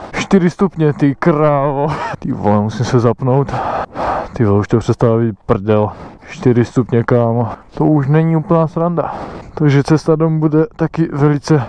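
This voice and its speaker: A man's voice: a little high, mellow, smooth, dry recording. Young Czech man laughing through a motovlog. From Czech Republic. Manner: laughing often, swearing casually. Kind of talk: casual helmet-cam motovlog chat. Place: outdoors riding an electric motorbike. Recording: dull lo-fi sound.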